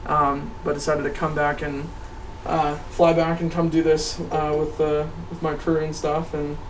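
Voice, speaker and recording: A young American man giving a casual, earnest and somewhat halting introduction to a group as an actor. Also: on the high side, monotone. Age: young adult. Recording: noisy, distant microphone.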